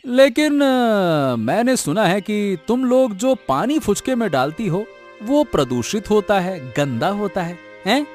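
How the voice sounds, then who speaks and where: A man's voice: high voice, sing-song, measured pace, darkish tone. A man voicing a scheming, fawning village troublemaker in a cartoon moral story, with a wheedling manner. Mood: accusing. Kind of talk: animated moral story dialogue in Hindi. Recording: music behind the voice.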